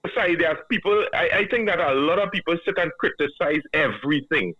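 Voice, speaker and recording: A man with a Trinidadian accent, calling in to a radio show and giving a measured, earnest opinion. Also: a little high, expressive, dry recording. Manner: measured, earnest, reasoning aloud. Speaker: caller. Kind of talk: call-in radio commentary. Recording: dull, dry phone line.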